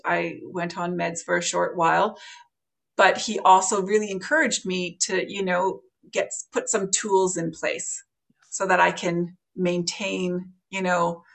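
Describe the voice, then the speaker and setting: A middle-aged woman with a Canadian accent, a podcast guest telling her own story in a soft, thoughtful way with many pauses. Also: on the low side, creaky. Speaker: podcast guest, meditation teacher. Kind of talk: reflective conversational storytelling.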